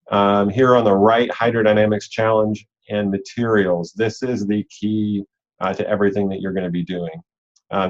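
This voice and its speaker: A man's voice: lowish voice, a little mellow. A man giving an informal, explanatory walkthrough as an organizer on a conference call, repeating key points for emphasis. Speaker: robotics league organizer and coach leading a call. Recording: dull, lo-fi online call audio.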